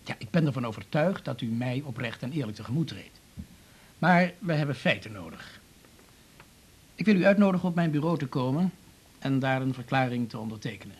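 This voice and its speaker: An actor playing a businesslike, sceptical and insistent police investigator in a 1980s radio drama, with a Netherlands Dutch accent. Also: male voice, medium pitch, animated, fairly quick, slightly rough.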